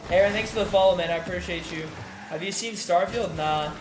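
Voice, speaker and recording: A young male streamer with a somewhat high voice talks in a loose, relaxed way, full of fillers and easy asides to his chat. A faint hiss sits under his voice from the home setup. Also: brisk. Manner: laid-back, filler-heavy, reads chat aloud. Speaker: gaming streamer. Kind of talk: casual live-stream chat.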